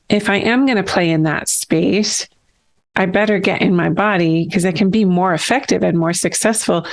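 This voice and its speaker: Low, gravelly middle-aged woman host. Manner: reflective, thinks aloud in long winding sentences. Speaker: podcast host. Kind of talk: casual interview chat.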